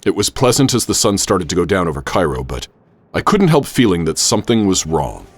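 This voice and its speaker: An adventurous professor narrating a radio play. His low voice moves up and down with theatrical flair, and music runs behind it. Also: sing-song, no room sound, heavily acted. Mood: reflective. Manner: measured, literary, theatrical storytelling. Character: adventurous, wary gentleman professor recounting his exploits. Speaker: narrator and lead character. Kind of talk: radio theater, dramatic first-person narration.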